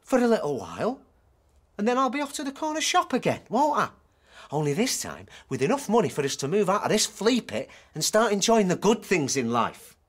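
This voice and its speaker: An actor playing a glib, restless estranged husband in a radio drama, persuasive and sarcastic. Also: male voice, sits high, huge pitch swings, a little fast, full character voice. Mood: restless, boastful. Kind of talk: naturalistic radio drama acting.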